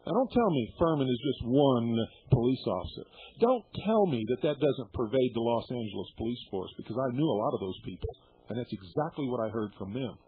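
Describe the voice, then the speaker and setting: A man's voice: medium pitch, animated, a little fast, slightly rough. A middle-aged man hosting a radio show, talking bluntly and indignantly to his listeners and swearing freely. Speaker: radio host. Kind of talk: shortwave radio monologue. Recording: tinny shortwave radio broadcast.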